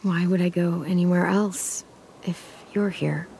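A woman's voice: fairly low pitch, fairly even intonation, unhurried, gravelly, mellow, a little hiss or hum. A woman voicing a tough, sardonic teenage American girl in a zombie survival video game, blunt and dry. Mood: dry, weary.

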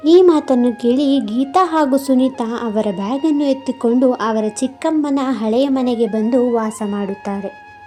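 A woman's voice: high voice, measured pace, warm tone, soft background music. A woman narrating a scripted moral story.

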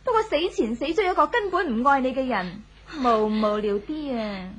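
A high-voiced, haughty young wife. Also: female voice, animated, moderate pace, fairly bright tone, breathy edge, heavily acted. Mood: scornful. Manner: haughty, cutting. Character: proud, cool young wife, scornful and aloof. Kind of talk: 1970s radio drama acting. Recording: old 1970s radio broadcast.

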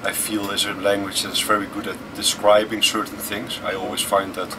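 A man in his thirties whose first language is Dutch, answering interview questions aboard a tour bus in a relaxed, thoughtful way with many fillers. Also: on the low side, moderate pace. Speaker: musician guest. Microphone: camera close in front. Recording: distant mic with vehicle noise. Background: air conditioning and vehicle hum.

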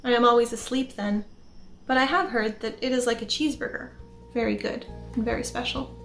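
A woman's voice: fairly high pitch, fairly even intonation, fairly quick, gravelly, a bit bright. A woman doing a hungry, hopeful gardener. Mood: wistful. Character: hungry, hopeful male gardener who loves cheeseburgers. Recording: echoey room with faint music.

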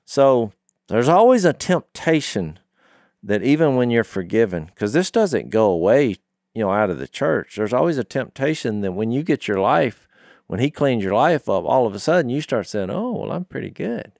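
A man's voice: medium pitch, big pitch swings, normal speed, creaky, a little mellow, dry recording. Middle-aged man with dry, close studio sound. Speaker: podcast co-host teaching scripture. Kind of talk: conversational Bible discussion. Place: small wood-panelled podcast studio. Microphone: studio microphone on boom, close.

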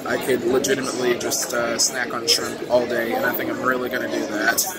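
A man's voice: medium pitch, monotone, brisk. A young man walking a buffet with a handheld phone camera, chatting casually and upbeat with many "ooh"s and "uh"s. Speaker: vlogger. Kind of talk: casual walkthrough narration. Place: large indoor buffet restaurant. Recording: noisy restaurant phone video. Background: diner chatter and clatter.

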